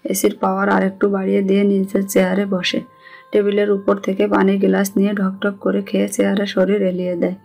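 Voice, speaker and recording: A woman's voice: mid-range voice, monotone, fairly quick, gravelly, a little mellow. A woman with a Bangladeshi accent reading scripted narration of a romantic story. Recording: music under the voice.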